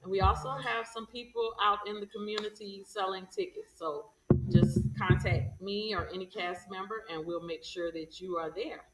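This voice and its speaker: A woman's voice: mid-range voice, fairly even intonation, normal speed, over loud music. A woman giving informative answers as an interview guest. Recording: echoey room, far from the mic.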